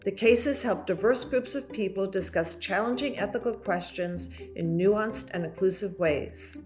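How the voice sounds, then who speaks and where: A woman's voice: mid-range voice, measured pace, darkish tone, faint music behind. A woman reading a scripted podcast introduction as a presenter.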